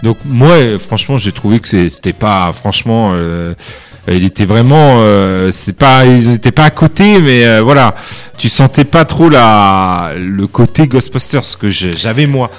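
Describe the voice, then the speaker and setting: A man's voice: fairly low pitch, lively intonation, measured pace, faint music behind. A man chatting casually about films and cartoons as podcast co-host, hesitant with many fillers.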